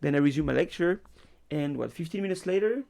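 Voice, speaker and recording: A young man, a university lecturer, chatting casually on a podcast and telling animated stories about his students. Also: on the high side, quick pace, gravelly. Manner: animated storytelling, acts out his students and himself; frequent fillers and restarts. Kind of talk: casual chat.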